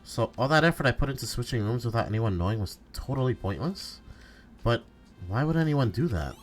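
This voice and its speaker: Anxious schoolboy hero male amateur actor, visual novel. Hesitant, earnest, expressive pitch, light music underneath. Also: fairly quick, close to an everyday voice. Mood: puzzled, pensive. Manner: hesitant, stammering. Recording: music behind.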